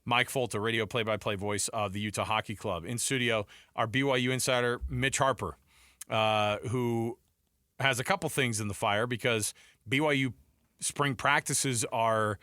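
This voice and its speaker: A man's voice: medium pitch, fairly even intonation, moderate pace, sharp tone, dry recording. A man hosting a casual sports talk show from a studio, speaking in an emphatic, exclamatory, conversational way. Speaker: sports radio host. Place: radio studio. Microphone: close studio microphone.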